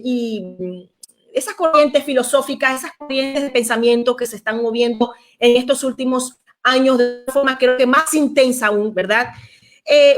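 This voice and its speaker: A woman from Venezuela hosting a church talk program, peppering her speech with amens in a preacherly way. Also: high voice. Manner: warm, frequent amens, preacherly. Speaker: program host.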